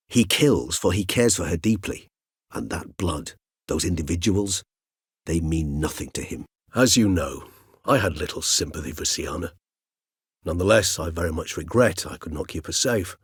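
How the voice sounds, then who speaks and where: A man's voice: low-pitched, breathy edge, dry recording, clean studio sound, exaggerated voice. An actor voicing a cultured older male vampire in a video game, speaking formally and precisely. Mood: grave, courteous. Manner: erudite, formal, measured, wordy phrasing. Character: cultured, mild-mannered older male vampire, learned and courteous. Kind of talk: theatrical video game dialogue.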